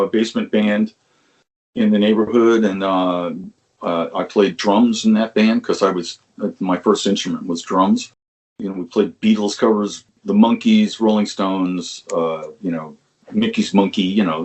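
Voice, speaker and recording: An older man with a fairly low voice tells stories from his past in a loose, rambling way. He restarts sentences often, swears now and then, and chuckles as he goes. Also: low-quality recording. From Long Island, New York. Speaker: musician guest. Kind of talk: conversational interview storytelling.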